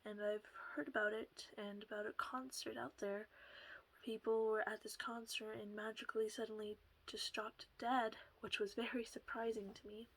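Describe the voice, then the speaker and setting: A woman with a soft voice that often slips into a half whisper, talking casually to her viewers through a headset at home, rambling a little and laughing softly. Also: fairly high pitch, level tone, moderate pace, low-quality recording. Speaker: channel host. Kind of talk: casual solo talk to camera.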